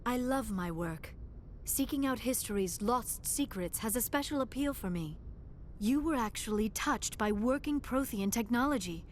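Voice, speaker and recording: A woman's voice: a little high, animated, normal speed, a little hiss or hum, close to an everyday voice. An actress voicing a young alien woman scientist in a video game, shy and earnest, with formal, precise diction. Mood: modest. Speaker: archaeologist crew member.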